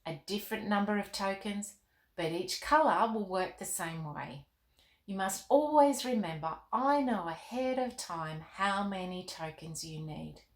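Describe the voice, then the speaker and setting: A woman reading the part of a gentle, wise, fatherly storybook king in a calm, measured, reassuring way. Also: mid-range voice. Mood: calm, kindly. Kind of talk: storybook read-aloud. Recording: roomy, echoing indoor sound.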